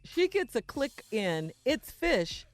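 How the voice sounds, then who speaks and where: Wildly animated high-voiced female co-host. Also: slow, soft background music. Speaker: radio show co-host. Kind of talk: casual group banter.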